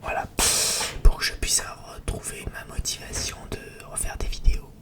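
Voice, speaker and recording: A person whispers a breathy, hesitant, rambling ASMR chat to viewers as a video creator. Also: normal speed.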